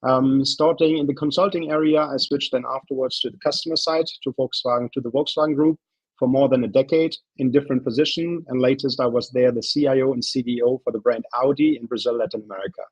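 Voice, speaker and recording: A German-accented man with a somewhat husky voice, friendly and loose in his phrasing. The audio is dull and dry, as from a basic call microphone. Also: mid-range voice, steady tone, fast talker, no room sound. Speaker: consultant. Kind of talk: self-introduction.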